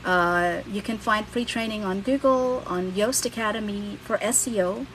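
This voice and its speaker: A woman's voice: mid-range voice, unhurried, fairly bright tone, slight background noise, poor audio. A woman in her thirties from the Philippines with a Filipino accent, hosting a casual livestream and giving blunt, candid career advice with the odd casual swear. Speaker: livestream host and career coach.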